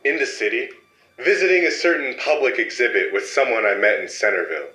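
Brief: male actor as a cool, condescending operative in an audio drama, tense bright tone, roomy sound. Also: medium pitch, brisk, close to an everyday voice. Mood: smug. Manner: calm, dismissive, condescending. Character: cold secretive male code-editing power agent.

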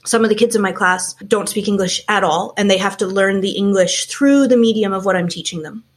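A woman from Canada in her forties, a teacher being interviewed, explaining things in a measured, frank way. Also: mid-range voice, husky. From Canada, small towns around Toronto. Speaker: guest, teacher and school founder. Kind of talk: casual interview chat.

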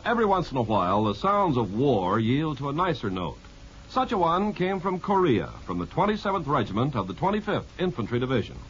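Male 1950s radio announcer, mid pitch, scripted narration, vintage muffled sound. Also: fairly bright tone, slight background noise.

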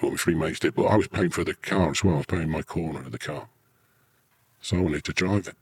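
A man's voice: rumbling low, fast, breathy edge. A British man, middle-aged or older, an army veteran telling rambling, earthy anecdotes casually in an interview. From United Kingdom.

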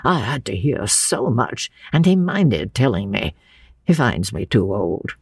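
A performer voicing a frail, gentle old man who speaks haltingly and in anguish, as in a dramatic audiobook. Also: mid-range voice, sing-song, creaky, slightly rough, no room sound, studio quality, heavily acted. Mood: rambling, resigned. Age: older. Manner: halting, drawn-out, anguished delivery. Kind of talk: dramatic audiobook character reading.

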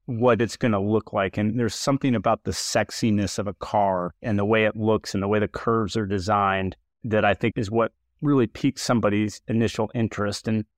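Male podcast host, low and dry, casual rambling delivery. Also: a little fast, hardly any pauses, dry recording. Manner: relaxed, chatty, many fillers and restarts. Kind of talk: casual interview chat.

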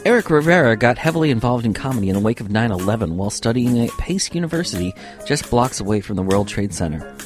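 A man's voice: medium pitch, big pitch swings, quick pace, faint music behind. A man hosting an interview podcast, moving between scripted introduction and casual questions.

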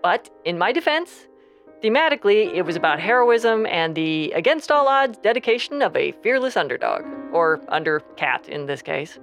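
A woman's voice: lowish voice, very animated, normal speed, highs cut off, faint music behind. A woman interviewed as a guest, speaking conversationally in a sheepish, confiding way. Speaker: interview guest, audio drama creator.

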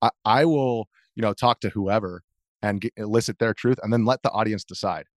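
A man's voice: on the low side, fairly quick, no room sound, clean studio sound. A man talking casually as an interview guest, a journalist who often repeats words mid-sentence.

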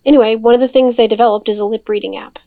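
Over a thin, narrow phone line comes a caring older sister with a fairly high voice. She is practical and natural, a woman in an audio drama. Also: steady tone, rapid-fire. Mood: helpful, matter-of-fact. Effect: phone filter.

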